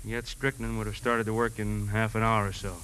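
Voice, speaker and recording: An actor playing a plain-spoken, matter-of-fact police lieutenant in a 1950s radio drama. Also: male voice, on the low side, level tone, brisk, a bit bright, lightly acted, poor audio. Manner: matter-of-fact, slightly apologetic. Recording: faintly hissy 1950s radio recording.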